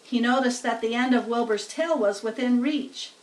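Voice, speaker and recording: A woman reading a children's story aloud expressively, in a deliberate, teacherly way. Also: on the high side. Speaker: storybook reader. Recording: roomy echo, distant microphone.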